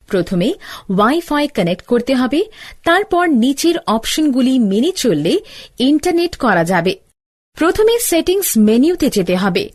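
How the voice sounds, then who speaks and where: Woman acting as older-sister tutor, high voice, very lively intonation, scripted step-by-step cartoon lesson narration. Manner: instructional, step-by-step. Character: older-sister teacher explaining gadgets to a child. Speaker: instructor.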